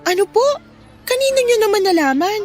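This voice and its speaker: A woman's voice: very high-pitched, animated, fairly quick, over music. A woman playing a trusting, defensive wife in her thirties who speaks respectfully and earnestly in a Filipino radio drama. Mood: upset, disbelieving. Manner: earnest, pleading.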